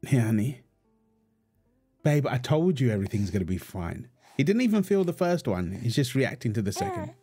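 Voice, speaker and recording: Man acting as lively, soothing young dad. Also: medium pitch, moderate pace, husky, soft background music. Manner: soothing, affectionate, coaxing. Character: gentle, reassuring young father and husband. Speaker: voice actor in audio roleplay. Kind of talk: intimate comfort roleplay, casual one-sided dialogue.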